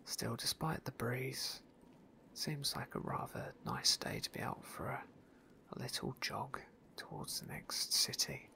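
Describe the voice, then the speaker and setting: Guy whispering thru a game playthrough, asmr style, crisp bright tone. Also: mid-range voice, huge pitch swings, measured pace. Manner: soft unvoiced whisper, leisurely and wry. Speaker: ASMR gaming host.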